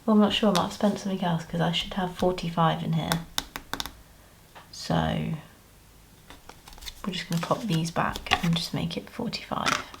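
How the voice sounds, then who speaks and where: A British woman with a croaky voice, chatting casually as she counts cash into budget envelopes and clears her throat now and then. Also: on the low side, lively intonation, moderate pace, pauses often, noisy background. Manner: counting money aloud, chatty asides. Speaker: budgeting vlogger. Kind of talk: casual cash-stuffing commentary.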